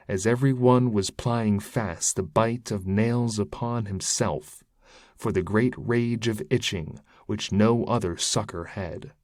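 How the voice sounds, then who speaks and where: Male narrator for epic poetry: low-voiced, unhurried, solemn and declamatory. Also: a little mellow, dry recording, clean studio sound. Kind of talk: dramatic recital of epic verse read aloud.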